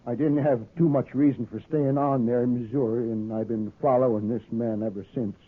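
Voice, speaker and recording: An actor playing a weary, grimly determined old American farmer in a 1950s radio western, speaking plainly and haltingly. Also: male voice, mid-range voice, steady tone, lightly acted. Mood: sorrowful, weary. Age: older. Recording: muffled, narrow-band old radio recording.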